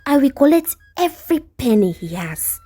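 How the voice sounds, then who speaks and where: A woman's voice: high-pitched, very animated, normal speed, mellow, breathy edge, voice pushed up, exaggerated voice. A woman storyteller with a Nigerian accent voicing a greedy, cocky, persuasive young woman scammer. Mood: greedy scheming.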